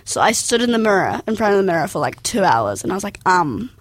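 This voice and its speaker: Husky young woman with a Kiwi accent. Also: mid-range voice, very animated, fast, dry recording. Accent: New Zealand. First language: Arabic. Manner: rambling, fills with "like" constantly. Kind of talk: casual personal chat.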